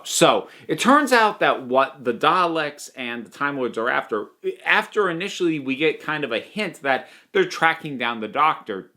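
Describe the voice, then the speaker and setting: A man's voice: fairly low pitch, fairly bright tone. A man giving a conversational, opinionated review to viewers, leaning on key words for emphasis. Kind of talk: spoken audio drama review to viewers.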